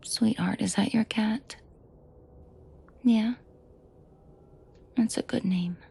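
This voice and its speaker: A woman playing a menacing, protective and flirtatious mafia boss in an intimate audio roleplay, with a breathy, husky voice and dry sarcasm. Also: heavily acted. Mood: tender, teasing. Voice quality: breathy, husky low voice with vocal fry. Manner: slow, deliberate, dryly sarcastic, often dropping to a murmur. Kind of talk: intimate audio roleplay acting addressed to the listener.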